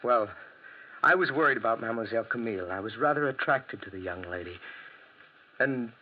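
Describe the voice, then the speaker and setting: Man acting an earnest gentleman, muffled vintage radio. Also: medium pitch, lively intonation, brisk, a little hiss or hum, close to an everyday voice. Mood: defensive. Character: dejected, defensive, earnest French gentleman suspect. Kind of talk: 1940s radio drama acting. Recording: muffled 1940s radio recording.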